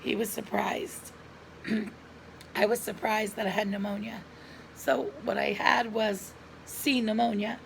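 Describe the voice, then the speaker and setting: A middle-aged woman with a hoarse voice and frequent coughs, telling viewers a personal story in an earnest, chatty way, recorded at home on a camera mic. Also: mid-range voice, fairly even intonation, measured pace, slight background noise, poor audio. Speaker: lifestyle vlogger.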